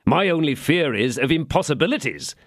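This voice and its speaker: A man voicing an ardent young male swordsman who flatters with theatrical, sentimental pleading. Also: on the high side, fairly quick, no room sound, studio quality, pitched above the performer's own voice.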